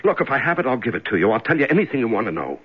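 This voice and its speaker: A man's voice: mid-range voice, sing-song, very fast, slightly rough. Frightened, pleading everyman captive. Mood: pleading, protesting. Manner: pleading, stammering, emphatic. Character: ordinary married man, frightened, baffled kidnap captive. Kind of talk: 1970s radio drama acting. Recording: muffled 1970s radio recording.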